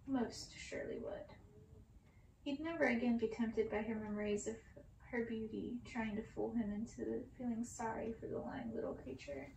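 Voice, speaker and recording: A woman reading a story in ASMR style, with a slow, breathy, intimate delivery. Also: fairly high pitch, warm tone, reverberant, slight background noise, poor audio.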